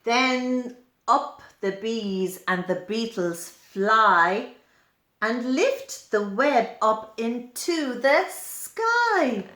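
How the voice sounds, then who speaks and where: A woman reading a children's story aloud in an expressive, deliberate, emphatic way, adding simple explanatory asides. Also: fairly high pitch. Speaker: storyteller at a special school. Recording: roomy, echoing indoor sound.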